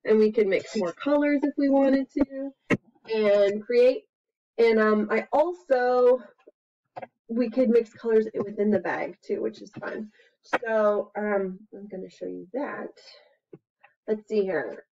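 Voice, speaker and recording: An American woman around forty chats casually to camera as a preschool teacher demonstrating an activity, in a playful, expressive manner. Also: fairly high pitch, takes it slowly. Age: 30s to 40s. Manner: playful, expressive, with exclamations and sound words. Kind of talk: casual instructional chat to camera. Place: small plain room at home, indoors. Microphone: computer webcam mic at about arm's length. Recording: dull, lo-fi webcam sound.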